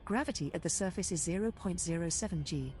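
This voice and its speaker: A woman's voice: lowish voice, fast talker, darkish tone, lightly acted. A woman voicing a composed spaceship computer assistant, giving clipped, matter-of-fact announcements. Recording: faint background noise.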